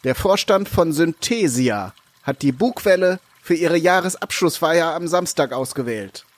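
A man's voice: on the high side, lively intonation, a little slow, dry recording. An actor playing an earnest head chef addressing his kitchen team in an audio drama. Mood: earnest, resolute. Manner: declarative, speech-like address to staff.

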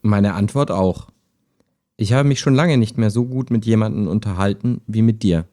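A man playing a calm, helpful, lonely chat partner in an audio drama, with a measured, earnest delivery. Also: fairly low pitch, natural voice. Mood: sincere. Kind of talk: amateur audio drama dialogue, read chat.

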